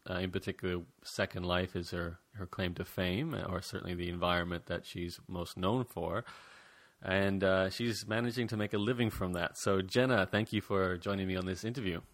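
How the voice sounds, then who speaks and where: A man's voice: low voice, fairly bright tone, dry recording. A man hosting an interview over a call, chatty and friendly, with many fillers and restarts. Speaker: podcast host. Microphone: call recording.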